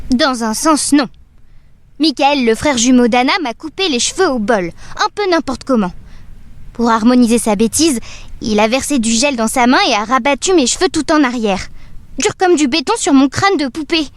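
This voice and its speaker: An actress playing a dreamy, wistful doll who tells her own story in measured, poetic narration for an audio drama. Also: sits high, expressive, dry recording. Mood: wry, matter-of-fact. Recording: music under the voice. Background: music.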